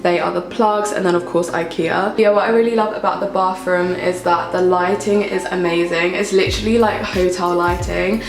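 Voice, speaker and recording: A woman's voice: fairly low pitch, fairly even intonation, moderate pace, hardly any pauses. A young British woman giving a casual, chatty room tour in an upbeat, informal way. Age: young adult. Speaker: vlogger, university student. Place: indoors, small student flat. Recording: echoey room with music underneath.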